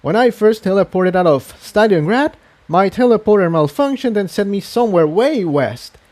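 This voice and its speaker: A man's voice: sits high, animated, normal speed, a little mellow, pitched above the performer's own voice. A man voicing a wary, anxious female pony with a worried, questioning manner in a fantasy story reading. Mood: nervous, doubtful.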